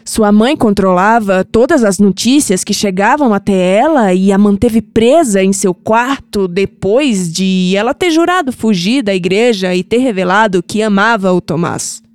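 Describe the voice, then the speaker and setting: An actress playing a wise, mystical old Brazilian grandmother in an audio drama, solemn, affectionate and measured. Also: medium pitch, lively intonation, darkish tone, smooth, no room sound, close to an everyday voice. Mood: grave. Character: tender, wise, mystical old grandmother revealing family secrets. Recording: music bed under the voice.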